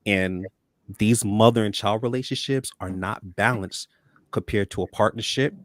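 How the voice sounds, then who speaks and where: Man, talk show host, deep voice, dry close sound, upbeat, stumbles on first words. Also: moderate pace, no echo. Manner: upbeat, repeats words when starting sentences. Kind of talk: casual talk show hosting.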